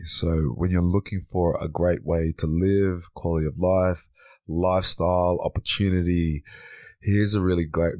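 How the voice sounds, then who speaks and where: A man, a guest on a podcast interview, talking in a relaxed way and often repeating words as he thinks. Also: deep voice, no echo. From Australia. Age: 30s. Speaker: podcast guest, men's coach.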